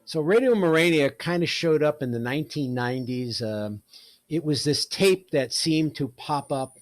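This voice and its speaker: A man's voice: fairly high pitch, lively intonation, moderate pace, no room sound, faint music behind. Chatty older man, close dry sound. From New York City, United States. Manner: chatty, colloquial, storytelling. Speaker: presenter, radio hobbyist. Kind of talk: casual slide talk.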